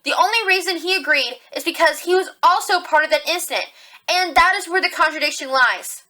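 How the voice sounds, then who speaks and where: A woman's voice: very high voice, bright, forceful tone. A woman acting a determined young rabbit police officer, arguing assertively in a courtroom audio drama. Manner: assertive, deliberate, argumentative.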